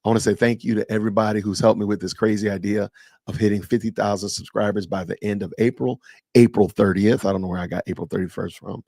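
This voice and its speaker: Gravelly low-voiced man in his fifties. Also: steady tone, quick pace, mellow, dry recording. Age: 50s. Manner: chatty, reads and answers live chat aloud. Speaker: podcast host. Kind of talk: casual live stream chat with viewers.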